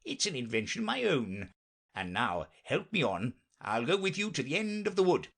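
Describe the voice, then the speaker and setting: A man's voice: a little high, huge pitch swings, moderate pace, vocal fry, a bit bright, breathy edge, voice pushed up, exaggerated voice. Man acting an earnest, fussy, swooping knight. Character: odd, earnest, bumbling old knight, proud inventor.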